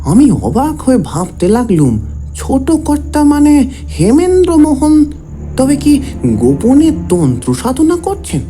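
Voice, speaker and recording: A man's voice: very high-pitched, huge pitch swings, unhurried, dark tone, exaggerated voice. An actor playing a young disciple who respectfully recounts events to his guru in an audio drama. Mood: wondering, intrigued. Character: young male disciple of a tantric guru, deferential, gossipy storyteller. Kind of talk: dramatised Bengali audio story narration.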